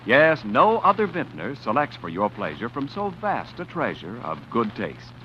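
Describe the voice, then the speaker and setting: Man, vintage radio announcer, smooth sales pitch, lively pitch, muffled old broadcast. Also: moderate pace, slight background noise. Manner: polished, salesman-like. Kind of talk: 1940s radio sponsor announcement.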